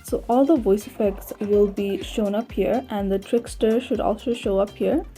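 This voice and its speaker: A woman's voice: mid-range voice, moderate pace, dark tone, soft background music. A woman narrating a step-by-step tutorial in a plain, instructional manner. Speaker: tutorial host.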